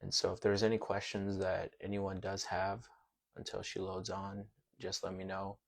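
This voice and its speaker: A low-pitched American man talks loosely on a live video call, circling back and repeating his phrases as he hosts. His voice stays in a narrow, level band. The sound is that of a computer mic. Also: completely flat delivery, moderate pace, gravelly. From United States. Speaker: podcast host. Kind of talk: casual live-stream chat.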